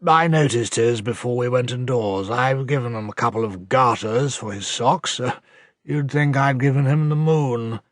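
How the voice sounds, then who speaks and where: A man voicing a kindly, no-nonsense doctor's wife in an audiobook, with a croaky smoker's voice and refined English accent. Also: mid-range voice, normal speed, dry recording, clean studio sound, close to an everyday voice. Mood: matter-of-fact. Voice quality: hoarse, croaky.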